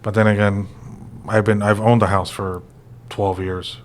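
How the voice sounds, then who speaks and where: Casting: forties male co-host, lower pitch, loose rambling delivery with fillers. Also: a little slow, slight background noise. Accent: American. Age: 40s. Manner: relaxed, rambling with many fillers. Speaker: real estate podcast co-host. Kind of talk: casual conversational chat.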